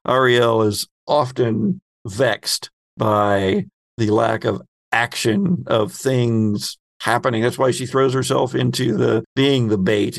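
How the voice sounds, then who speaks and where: A man acting as game master, improvising descriptive narration of a tabletop game in halting phrases. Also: mid-range voice, gravelly, no echo.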